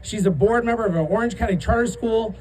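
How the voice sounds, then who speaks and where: A man acting as emcee at an outdoor rally, introducing the next speaker admiringly and slowing for the announcement. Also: high voice, darkish tone, slight background noise, low-quality recording. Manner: admiring, builds to a slow announcement.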